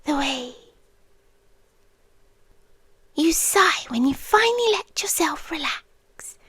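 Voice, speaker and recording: An obsessive British yandere girlfriend, acted by a woman, high-voiced and breathy, slipping between whisper and soft speech. She speaks very slowly and possessively, right up close in a dry, intimate ASMR recording. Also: animated, no echo, exaggerated voice. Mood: creepy tenderness. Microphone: close, dry microphone.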